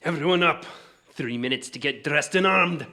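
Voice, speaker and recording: An actor playing a gruff, battle-hardened older warrior leader in a fantasy audio drama, speaking in a stern, curt, commanding manner. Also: male voice, on the high side, normal speed, bright, forceful tone.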